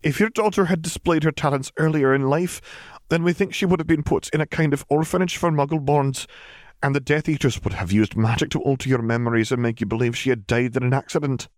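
A man voicing a stern, grave older British witch professor who explains things in a measured, formal way. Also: mid-range voice, no echo, clean studio sound, pitched above the performer's own voice, natural voice. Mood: grave, careful.

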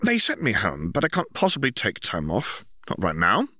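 A man's voice: fairly low pitch, huge pitch swings, moderate pace, sharp tone, no room sound, full character voice. A man voicing an officious, earnest young bureaucrat, a diligent older brother who talks formally and insistently in an audiobook story.

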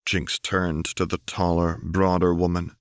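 A man's voice: low voice, flat delivery, measured pace, clean tone, no echo, clean studio sound. A man reading scripted fiction aloud as an audiobook narrator.

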